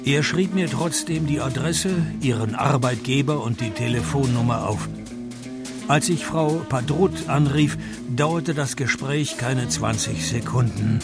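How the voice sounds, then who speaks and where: An actor playing a dry, sardonic private detective in a radio play, deadpan, with a gravelly, husky voice. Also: male voice, mid-range voice, a little slow, over loud music, natural voice. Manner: deadpan, sarcastic. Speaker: detective narrating his own case. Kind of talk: radio play narration and dialogue.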